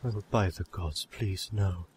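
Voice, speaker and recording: A man's voice: deep voice, takes it slowly, slightly rough, no room sound, heavily acted. A man playing a long-suffering, scolding tavern keeper in a fantasy audio drama. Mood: exasperated. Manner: weary, scolding.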